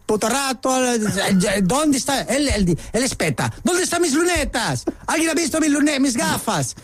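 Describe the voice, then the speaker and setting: A man's voice: very high-pitched, big pitch swings, moderate pace, slightly rough, dry recording, full character voice. An actor playing a nineteen-year-old lad with a put-on Italian accent who mixes Italian words into his Spanish. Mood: showy. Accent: fake Italian. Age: young adult. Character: young male lad faking pompous Italian airs. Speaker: comic interview guest.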